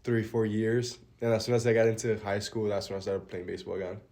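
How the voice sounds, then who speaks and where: A young man from the Dominican Republic talks through his story as a podcast guest. His voice sits low and stays level, with little rise and fall, and his sentences fade softly at the end. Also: monotone, quick pace. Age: young adult. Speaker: college baseball pitcher, guest. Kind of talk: casual interview answers.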